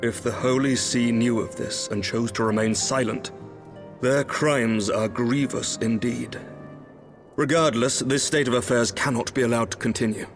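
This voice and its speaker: A man's voice: mid-range voice, steady tone, soft background music, lightly acted. Noble, resolute knight commander, acted by a man. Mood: grave. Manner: measured, formal, earnest. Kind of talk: formal fantasy game dialogue acting.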